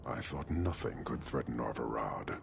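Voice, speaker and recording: An actor playing a stern, imposing warrior commander in a video game, speaking formally and tersely with measured disdain. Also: male voice, extremely low pitch, animated, gravelly, full character voice. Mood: cold disdain. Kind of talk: video game cutscene acting.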